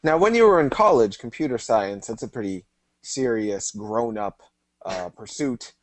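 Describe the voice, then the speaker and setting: A male host keeps a loose, joking tone as he interviews a guest for a live stream. His voice sits at a middling pitch and sounds dry and close, with no room around it. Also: expressive, normal speed, no echo. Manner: joking, teasing. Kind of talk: casual interview chat.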